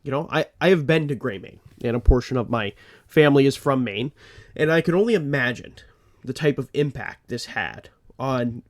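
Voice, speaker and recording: A man's voice: medium pitch, expressive, moderate pace. A man hosting a true crime podcast, a little congested and phlegmy, talking in an earnest way with rambling asides. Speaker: podcast host.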